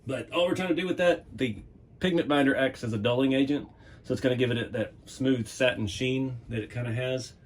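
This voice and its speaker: A man hosting a hobby vlog from his workshop, casually rambling and chuckling, his voice going hoarse. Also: medium pitch, a little fast. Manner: relaxed, rambling, chuckles often. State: losing his voice. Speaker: hobby channel host. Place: home workshop.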